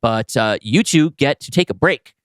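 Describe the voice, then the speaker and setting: A man talks as a podcast co-host with lively, swinging intonation and an emphatic delivery. His pitch sits in the middle for a man, and the recording is dry and close, with an easy conversational feel. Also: normal speed, no room sound. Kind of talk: casual podcast chat.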